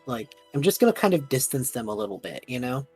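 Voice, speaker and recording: A husky woman chatting casually as a player in a tabletop role-playing game. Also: rumbling low, big pitch swings, fast talker, mellow, soft background music.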